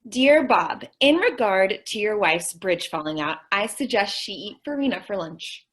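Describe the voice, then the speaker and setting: A woman's voice: mid-range voice, lively intonation, unhurried, slight background noise, lightly acted. A woman playing a sweet, dim-witted young secretary in old-time radio comedy style, earnest, eager and naive. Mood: eager, pleading.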